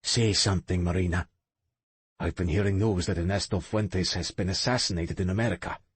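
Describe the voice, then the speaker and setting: A man's voice: low voice, steady tone, fairly quick, breathy edge, no echo. A man narrating an audiobook, voicing an older uncle who coaxes and threatens. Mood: coaxing. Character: older Russian uncle, smooth and menacing.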